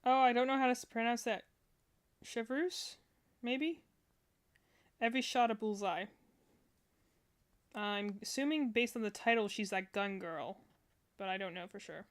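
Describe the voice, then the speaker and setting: A woman's voice: a little high, measured pace, pauses often, smooth. A woman hosting a casual reaction livestream, thinking aloud in a rambling way. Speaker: streamer, reaction host.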